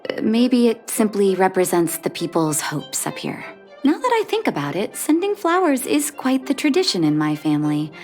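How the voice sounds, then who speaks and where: A woman playing a gentle, caring doctor in a video game, soft-spoken and measured, with a reflective, wistful manner. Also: medium pitch, wildly swooping pitch, faint music behind. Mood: wistful, tender. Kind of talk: video game dialogue voice acting.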